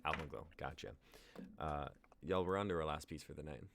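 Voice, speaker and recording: A man's voice: extremely low pitch, brisk, vocal fry, noisy background. A man in his twenties, a playwright chatting casually and reading out stage directions. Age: 20s.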